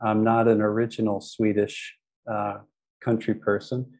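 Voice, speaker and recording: Low, flat-voiced American man. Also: measured pace. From United States. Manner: friendly, frequent ums and restarts. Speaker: guest. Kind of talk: casual interview talk.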